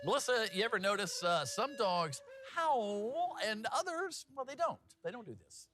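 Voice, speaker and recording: A man's voice: high voice, sing-song, moderate pace, pushed and bright, faint music behind. A man anchoring a TV newscast in a jokey, folksy, conversational way, moving between banter and scripted reads. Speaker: TV news anchor and feature reporter.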